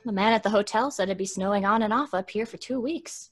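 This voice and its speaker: A woman's voice: mid-range voice, fast, close to an everyday voice. A woman playing a prim, disapproving young traveler in an old-time radio drama, with a proper manner. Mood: matter-of-fact. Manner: scolding, proper, exasperated.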